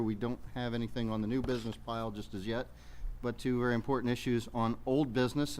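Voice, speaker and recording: A man's voice: medium pitch, darkish tone. A man speaking as a mayor, running a public meeting and reading out procedural business. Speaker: mayor chairing a township committee meeting. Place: public meeting room with audience. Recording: faint background noise in meeting room.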